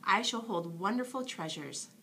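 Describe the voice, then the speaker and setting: A woman's voice: mid-range voice, animated, normal speed, creaky, sharp tone, a little hiss or hum. A woman voicing an earnest little tree with big dreams in a children's bedtime story. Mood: proud, hopeful.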